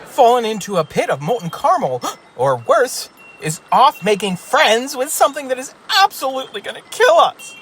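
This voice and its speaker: A woman's voice: a little high, very animated, moderate pace, few pauses, a little hiss or hum. A woman playing an anxious, doom-predicting spaceship crew member in an audio sitcom, fretful and dryly fatalistic. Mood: anxious, doomy. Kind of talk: sci-fi audio drama sitcom acting.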